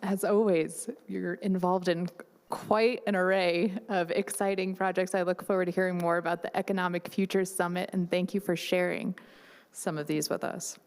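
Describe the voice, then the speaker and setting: A woman moderating a symposium, reading a formal speaker introduction in a measured way. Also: fairly low pitch, level tone, a little mellow. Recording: long hall reverb.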